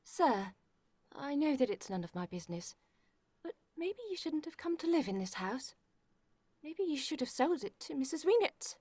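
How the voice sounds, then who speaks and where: A woman's voice: high voice, big pitch swings, moderate pace, warm tone, no room sound. A woman playing a fretful, deferential household servant, theatrical, in an old-time radio horror play. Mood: concerned.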